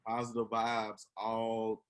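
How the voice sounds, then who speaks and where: A man's voice: lowish voice, completely flat delivery, slow pace. Earnest young Memphis man chatting. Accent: Memphis Southern US. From Memphis, United States. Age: 20s. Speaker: podcast host. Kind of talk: casual reminiscing chat.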